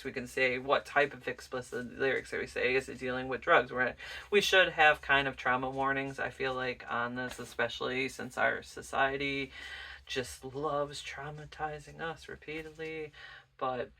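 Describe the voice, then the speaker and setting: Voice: medium pitch, sharp tone, far from the mic. A vlogger chatting casually and informally in a rambling way as host of a music collection show. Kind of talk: casual rambling vlog chat.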